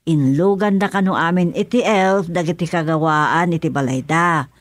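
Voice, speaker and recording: A woman's voice: on the low side, normal speed, no room sound, close to an everyday voice. Gossipy village wife played by a woman, dry sound. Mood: gossiping eagerly. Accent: Ilocano Filipino. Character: gossipy village wife, inquisitive and eager to share rumors. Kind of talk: Ilocano radio comedy drama acting.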